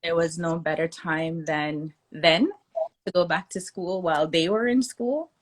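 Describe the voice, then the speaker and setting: A woman esthetician and mother of two, a guest in a conversational interview over a video call, telling her story in a relaxed way. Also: on the low side, unhurried, poor audio.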